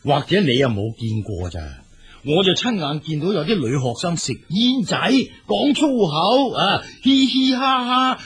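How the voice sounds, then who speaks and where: A man playing a kindly old grandfather in a radio drama, halting and soothing, with a Hong Kong Cantonese accent. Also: high-pitched, sing-song, a little hiss or hum. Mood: disapproving. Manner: halting, drawn-out, soothing, with filler particles.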